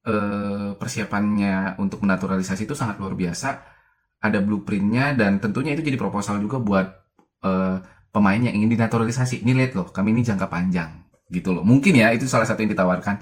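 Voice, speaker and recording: A man with a very low, deep voice talks through football news in a relaxed, chatty way. He sounds enthusiastic and keeps asking rhetorical questions. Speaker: commentator narrating a football video. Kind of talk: casual video commentary.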